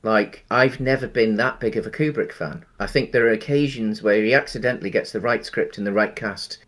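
A man's voice: on the low side, a little fast, a bit bright. A man, co-hosting a casual film chat over a video call, rambling through restarts. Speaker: podcast co-host. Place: home room on a video call.

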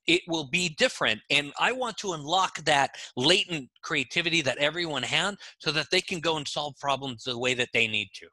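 A man in his forties makes his points with insistence, his voice very bright and edged. He speaks through a headset on a video call, and the sound is close and dry. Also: on the high side, expressive, brisk, hardly any pauses, no echo, rough recording. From born in Israel, raised in Los Angeles. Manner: emphatic, insistent, talks with his hands. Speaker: guest, author and creativity expert. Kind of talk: animated interview conversation. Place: home room with bookshelves.

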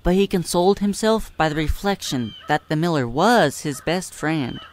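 Storybook narrator, mid-pitched voice, scripted tale-telling, noticeable noise in the recording. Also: animated, normal speed. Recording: clear background noise.

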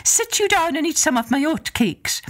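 A woman voicing a clever, cheerful giant's wife in a high, sing-song voice. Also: lively intonation, normal speed.

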